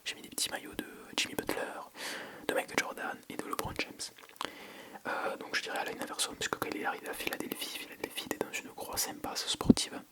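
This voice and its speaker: A French ASMR creator speaking entirely in a soft, breathy whisper. The delivery is casual and unscripted, with a hesitant habit of thinking aloud. It sounds intimate and close to the microphone. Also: brisk. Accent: French from France.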